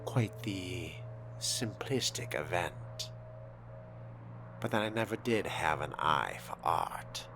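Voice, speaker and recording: A low-voiced man roleplays through a game in formal, haughty language. His delivery is slow and drawling, yet rises and dips expressively, with music playing clearly behind him. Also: lively intonation. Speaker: player voicing his own vampire character in a roleplay playthrough. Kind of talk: in-character roleplay commentary over a game.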